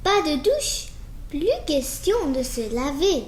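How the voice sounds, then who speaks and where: Very high-pitched, animated woman storyteller. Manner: slow, halting reading with stumbles. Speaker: storyteller reading a children's picture book aloud. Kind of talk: animated read-aloud storytelling for children. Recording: roomy echo with faint background noise.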